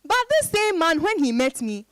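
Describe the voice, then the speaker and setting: A woman's voice: high voice, very animated, normal speed, a bit bright, dry recording, clean studio sound. An actress with a Ghanaian accent playing a proud, independent professional woman in a dramatized reading, emphatic and exclaiming. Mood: frustrated.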